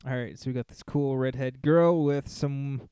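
A man co-hosting a podcast, casually reading out listener stories with lots of fillers and trailing pauses. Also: medium pitch, warm tone, clean tone, no room sound, studio quality. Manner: rambling, hesitant, many fillers. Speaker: podcast co-host.

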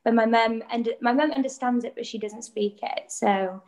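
A woman's voice: fairly high pitch, moderate pace, darkish tone. A young woman from North Wales with a North Welsh accent, a musical theatre performer chatting as an interview guest. Welsh is her first language, and she talks in a chatty way, full of fillers and giggles. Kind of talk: casual interview chat.

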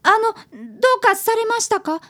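A woman's voice: extremely high pitch, brisk, fairly bright tone. A woman voicing an earnest, polite ten-year-old boy apprentice detective in a radio drama. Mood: polite, curious. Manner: polite, earnest, narrates to the listener.

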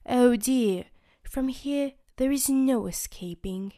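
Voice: high voice, takes it slowly, dark tone, pitched above the performer's own voice. A woman voicing a wise, patient old professor tooth in a storybook reading. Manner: patient, soothing explanation.

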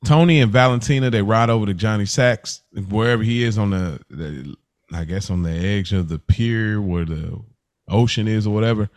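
A man's voice: on the low side, normal speed. Male podcast co-host, casual and slangy review chat, conversational delivery.

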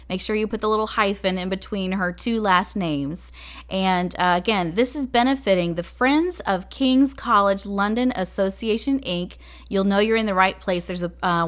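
A woman's voice: mid-range voice, fairly bright tone. A woman co-hosting a podcast, delivering an introduction and announcements. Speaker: podcast co-host. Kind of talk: scripted show introduction and announcements.

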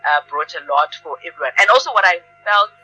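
A South African woman with a very bright, forward voice talks as a guest in a conversational interview. She is emphatic and often repeats phrases to press a point. Faint music sits behind her. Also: lowish voice, expressive, quick pace, slightly rough, low-quality recording. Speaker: guest, playwright and academic.

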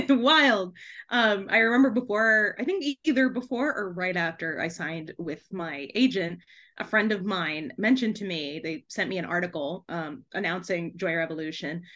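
A woman's voice: medium pitch, fairly bright tone. Effusive young woman, giggly casual talker. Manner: effusive, giggly, peppers talk with "like". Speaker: podcast guest, debut author. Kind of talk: casual podcast interview.

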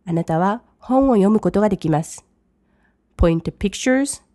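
A woman who teaches English, reading each English line slowly and then translating it briskly. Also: mid-range voice, lively intonation, warm tone, no echo, clean studio sound. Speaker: language teacher. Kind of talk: bilingual lesson commentary.

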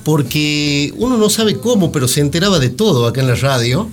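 A man with an Argentine accent presenting a radio segment, chatting in a halting, mock-solemn comic way. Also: a little high, lively intonation, faint music behind.

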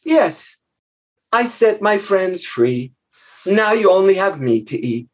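A small, brave girl facing a monster with quick wits, as a man telling the story puts her on. Her voice sits high and earnest, with a husky edge. Storybook heroine. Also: very animated, lo-fi sound, heavily acted. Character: brave clever little girl.

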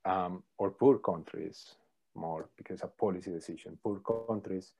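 A middle-aged man, a professor answering interview questions thoughtfully, pausing often with ums. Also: deep voice, dark tone, husky. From Colombia. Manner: thoughtful, hesitant with many ums. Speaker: professor, podcast guest.

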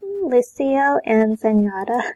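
A woman with a fairly high voice joins a casual podcast as a guest. Her answers are short and understated, with little room sound around them. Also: sing-song, slow, mellow, no room sound, highs cut off. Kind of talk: casual chat.

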